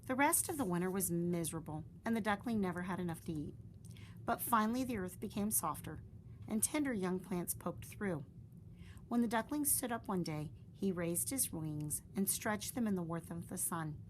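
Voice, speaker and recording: A woman reading a children's fairy tale aloud in a gentle, expressive storytelling manner. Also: fairly low pitch, moderate pace, slight background noise, poor audio.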